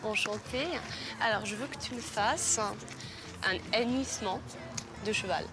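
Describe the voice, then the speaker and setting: A woman's voice: mid-range voice, measured pace. Teasing young woman over TV music. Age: 20s. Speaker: contestant on a TV dating show. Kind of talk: TV dating show dialogue. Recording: TV show audio with music under it.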